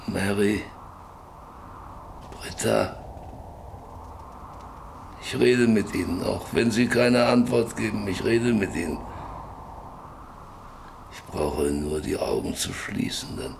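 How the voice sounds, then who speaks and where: An actor playing a sick, stubborn, lonely old rancher in a German radio play, halting and wistful, lost in memories. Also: male voice, low-pitched, creaky, exaggerated voice. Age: older. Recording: noisy radio play with ambient sound.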